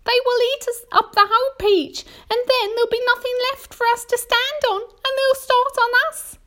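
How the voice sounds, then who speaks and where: Nervy miss spider type, high thin voice, dramatic and doomy, storybook style. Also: female voice, brisk. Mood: despairing. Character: anxious female spider, dramatic and despairing.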